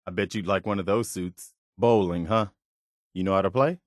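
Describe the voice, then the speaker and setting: An idealistic, principled militia man as a male actor plays him for a video game. His voice sits on the low side, with an earnest, plain-spoken delivery that stays conversational. The sound is very dry and close, with no room to it. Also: moderate pace, no room sound, close to an everyday voice. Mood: earnest, thoughtful. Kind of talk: video game companion dialogue, naturalistic acting.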